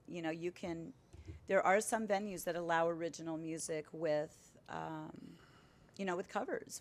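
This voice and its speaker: A middle-aged woman sits in as a guest and talks in a casual, emphatic way, with a lively push behind her points. Her voice sits a little low for a woman. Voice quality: powerful voice. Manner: animated, emphatic storytelling. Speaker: singer and entertainer, guest. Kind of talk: casual interview chat.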